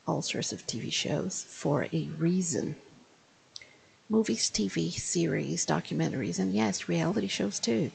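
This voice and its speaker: A woman in her fifties talks through a TV review like an English teacher, deliberate and explanatory, slowing to stress her points. Her voice is heavily gravelly with a lot of fry, and a faint hum of room noise sits behind her. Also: sits low, darkish tone, rough recording. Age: 50s. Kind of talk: spoken video review.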